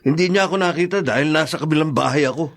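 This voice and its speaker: An actor playing an indignant, protective older brother in a Filipino drama, with a Filipino accent. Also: male voice, a little high, fast talker, fairly bright tone. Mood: accusing. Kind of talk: Filipino radio drama acting.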